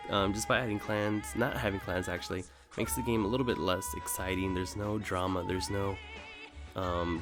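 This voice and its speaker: A man hosting a gaming video, talking casually off the cuff in a hesitant, rambling way as he thinks aloud. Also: on the low side. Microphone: voice-over recorded over gameplay. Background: music under the voice.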